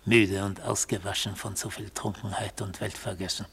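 An actor playing a brooding, defiant defendant who tells a fateful story in a radio play, hoarse and deliberate, with poetic insistence. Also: male voice, on the low side, little pitch movement, fairly bright tone, exaggerated voice. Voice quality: hoarse, gravelly. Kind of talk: literary German radio play, monologue narration.

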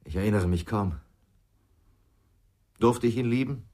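A middle-aged former policeman in a radio crime drama. His voice sits low, and he talks in short, grave sentences with a brooding weight. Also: measured pace, a little mellow. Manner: terse, grave. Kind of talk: naturalistic radio drama acting.

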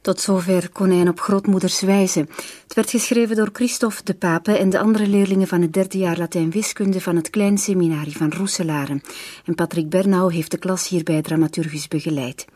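A woman's voice: on the low side, fairly even intonation, moderate pace, mellow. A woman with a Flemish accent reading scripted closing credits as a radio announcer.

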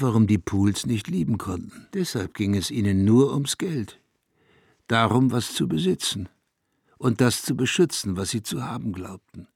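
A brooding, reflective narrator in a radio play. His voice is husky and creaky at a middling pitch, recorded very dry. He tells his story in measured, intimate, literary phrases. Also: a little mellow, no echo. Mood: grave, insistent. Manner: deliberate, measured, intimate, at times dropping near a whisper. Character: brooding, reflective man recounting a small-town tragedy. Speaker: actor narrating a character's story. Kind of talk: German radio play monologue, literary first-person narration.

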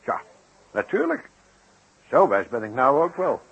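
An actor playing a flustered, droll eyewitness in a 1960s radio play, halting, repeating words and exaggerating floridly. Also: male voice, mid-range voice, wildly swooping pitch, gravelly, fairly bright tone, breathy edge, exaggerated voice. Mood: earnest, puzzled. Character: flustered, droll male eyewitness recounting his mishap. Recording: muffled, noisy vintage radio recording.

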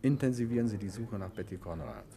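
An actor playing a confident, jovial gentleman detective in a 1950s radio play, brisk and cheerful with his wife, guarded with the police. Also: fairly low pitch, very animated, gravelly. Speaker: detective, husband.

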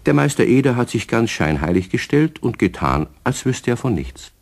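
Ein Schauspieler spricht den Erzähler eines Kinderhörspiels, freundlich und ruhig erzählend. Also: male voice, mid-range voice, very animated, normal speed, vocal fry, a little mellow, a little hiss or hum. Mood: friendly, storytelling. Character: male storyteller narrator of children's radio play. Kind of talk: scripted narration for children.

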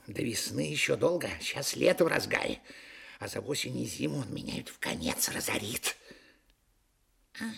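A woman's voice: low-pitched, vocal fry, husky, heavily acted. A woman playing a greedy, scheming, easily enraged rich master in a theatrical fairy-tale audio play, wailing and muttering to himself.

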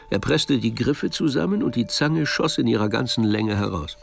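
A man narrating a crime story from a script in a measured storytelling manner. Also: on the low side, creaky, a little hiss or hum.

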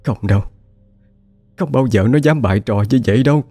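A man's voice: mid-range voice, fast talker, dark tone, slightly rough, no echo, soft background music, pitched above the performer's own voice, close to an everyday voice. A man voicing a grieving middle-aged mother who pleads tearfully with her lost daughter. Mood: despairing.

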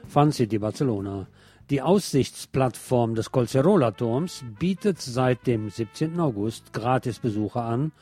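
A man reads local news from a script in a soft, dark-toned, relaxed voice. He has a sardonic, editorializing manner that colours the reading. Faint music with guitar plays behind him. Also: mid-range voice, husky. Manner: sardonic, opinionated asides. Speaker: radio news presenter.

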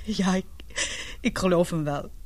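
A young woman playing an anguished daughter in love in a radio drama, confiding in halting, earnest, emotional speech. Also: lowish voice, darkish tone, natural voice. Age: young adult.

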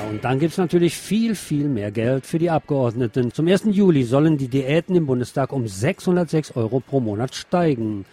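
A man's voice: mid-range voice, warm tone, breathy edge. A man presenting radio news, reading reports aloud with brief asides.

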